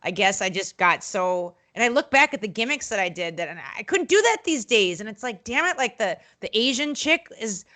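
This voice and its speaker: A woman guest on a podcast, telling stories about her wrestling days in an animated, outspoken way, full of fillers and acted-out quotes. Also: medium pitch, a little fast, few pauses. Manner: animated storytelling, acts out quotes in other voices; frequent fillers and restarts, blunt and outspoken. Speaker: podcast guest, former wrestling interviewer and manager. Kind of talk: casual podcast interview.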